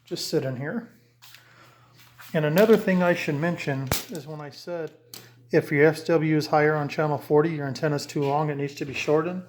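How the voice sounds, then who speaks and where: A man's voice: fairly high pitch, normal speed, slight background noise. Rambling man demonstrating CB radio gear. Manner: rambling, hands-on explaining with restarts. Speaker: CB radio hobbyist. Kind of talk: casual how-to demonstration. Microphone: camera mic, handling gear while talking.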